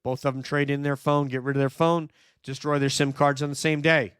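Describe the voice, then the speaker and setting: A hoarse man, nearly losing his voice, hosting a true crime livestream, talking emphatically and answering viewer chat. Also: mid-range voice, flat delivery, fairly quick, a bit bright, no room sound, studio quality. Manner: emphatic, repeats "no question", thinks aloud with fillers. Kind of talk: live commentary reading viewer chat.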